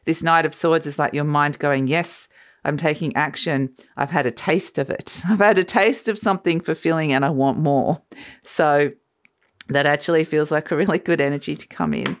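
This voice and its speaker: Low, croaky-voiced woman reading tarot. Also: lively intonation, moderate pace. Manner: warm, musing, trails off and restarts, light laughs. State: croaky from a cold. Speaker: tarot reader. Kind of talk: casual spoken tarot reading. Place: sunny room by a window, indoors.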